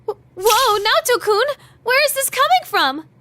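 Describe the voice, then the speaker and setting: Very high, bright teenage idol girl. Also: a little slow, dry recording, heavily acted. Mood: startled. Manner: gossipy, emphatic, expressive. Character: perky teenage girl pop idol, chatty and dramatic. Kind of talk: animated video game voice acting.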